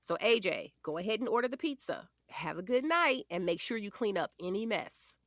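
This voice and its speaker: A woman's voice: medium pitch, animated, moderate pace, fairly bright tone, no room sound. A woman playing a doting but firm wife and mother in her forties, teasing and sassy, in a naturalistic audio drama. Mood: affectionate.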